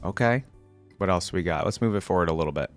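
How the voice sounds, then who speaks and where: A man's voice: sits low, fast, faint music behind. A man hosting a reaction video, chatting informally and thinking aloud as he watches. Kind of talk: casual live reaction commentary.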